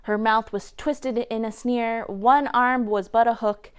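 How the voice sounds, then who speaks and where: Clear, high-voiced woman reading a children's story. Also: unhurried. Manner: expressive, dramatic storytelling. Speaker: library storytime reader. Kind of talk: animated children's rhyming picture-book reading.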